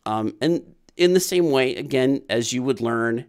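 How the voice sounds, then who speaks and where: A man's voice: medium pitch, expressive, measured pace, clear, resonant tone. A man in his thirties hosting a casual programming livestream Q&A from a small room on webcam, rambling and repeating himself with lots of fillers.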